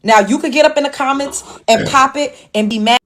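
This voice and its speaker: Woman going off in a rant clip, blunt, keeps asking rhetorical questions, kinda high voice. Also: a little fast, fairly bright tone, slight background noise. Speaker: commentator in a played clip. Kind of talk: impassioned monologue.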